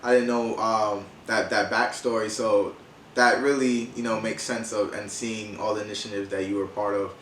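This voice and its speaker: Podcast host: man in his twenties, mid-pitched, informal and slangy, recorded off-mic in an echoey room. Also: little pitch movement, moderate pace, a bit bright, far from the mic, slight background noise. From Haitian background. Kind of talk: casual chat, interviewing.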